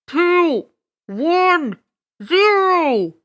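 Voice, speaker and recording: A booming, dramatic loudspeaker announcer for a fantasy story, acted by a woman. The voice is high and loud, projected as it counts down toward doom. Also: very animated, very slow, heavily acted. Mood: dramatic countdown.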